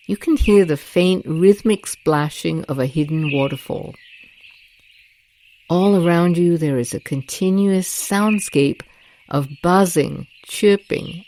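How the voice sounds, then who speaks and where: Woman, soft mellow tone, unhurried, soothing guided meditation narration, long pauses, birdsong and cricket ambience behind. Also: low-pitched, a little hiss or hum. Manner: gentle, deliberate, calming delivery with long pauses. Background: rainforest sounds, birdsong and crickets.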